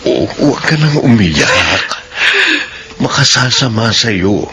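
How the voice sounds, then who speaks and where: A man's voice: fairly low pitch, very animated, slow, slight background noise, exaggerated voice. An actor playing Kuya Conrad, an older brother comforting his sister, in a radio drama. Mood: soothing. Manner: soothing, gentle. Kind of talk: classic radio drama acting.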